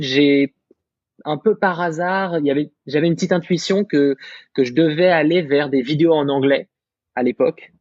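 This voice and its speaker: A young man from France in his twenties, a guest content creator talking through his story with animated self-assurance. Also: fairly high pitch, no echo. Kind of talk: casual podcast discussion. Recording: slightly muffled dry recording.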